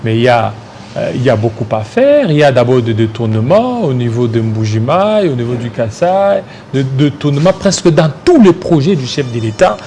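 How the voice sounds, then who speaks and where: A male host with a Congolese French accent conducts a political interview. His questioning is probing and challenging, at a middling pitch. There is light noise and room ambience behind his voice. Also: sing-song, moderate pace, mellow.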